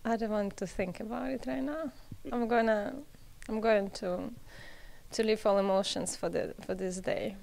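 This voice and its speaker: A young woman, a college tennis player, answering interview questions haltingly in short phrases. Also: mid-range voice, steady tone, gravelly, darkish tone. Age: young adult.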